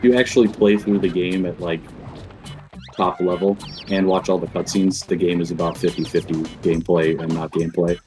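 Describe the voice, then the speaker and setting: A man's voice: sits low, steady tone, brisk, a little mellow, soft background music. A man giving casual live commentary as he speedruns a game. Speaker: speedrunner commentating own run.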